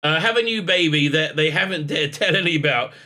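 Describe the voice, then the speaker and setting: Male channel host, raspy from illness, bright tone, halting delivery full of fillers. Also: a little high. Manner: halting, with many fillers and restarts, tags lines with "right?"; blunt and scornful. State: sick with a raspy voice. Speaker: online commentary channel host. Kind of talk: casual spoken commentary to camera.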